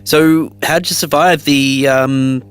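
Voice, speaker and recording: A man's voice: a little high, fairly even intonation, takes it slowly, a bit bright, smooth, faint music behind. A man acting a cautious survivor. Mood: tentative. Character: cautious, practical male dystopia survivor. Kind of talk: audio drama acting.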